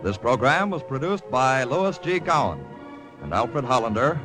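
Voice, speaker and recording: A male announcer reads scripted credits in a formal, orderly vintage radio style. His pitch is medium, and music plays clearly behind him. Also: very animated, normal speed. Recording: old radio broadcast recording.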